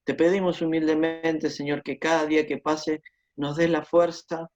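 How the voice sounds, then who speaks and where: A man's voice: fairly high pitch, flat delivery, normal speed, a little mellow. Earnest, hesitant man, lo-fi. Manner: hesitant, repeats words, earnest. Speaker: Bible teacher. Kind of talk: greetings and closing prayer. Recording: dull lo-fi sound.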